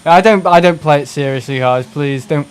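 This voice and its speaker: A man's voice: a little high, normal speed, clean tone. A young man, chatting casually and self-mockingly while streaming a flight sim game and reading out chat. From England. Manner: chatty, self-mocking, reads chat aloud and laughs often.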